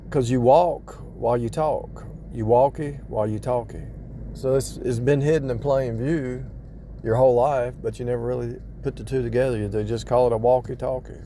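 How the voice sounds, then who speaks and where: A man's voice: medium pitch, warm tone, a little hiss or hum. A man giving casual, off-the-cuff spiritual teaching in a rambling way, repeating and rephrasing himself for emphasis. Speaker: religious vlogger.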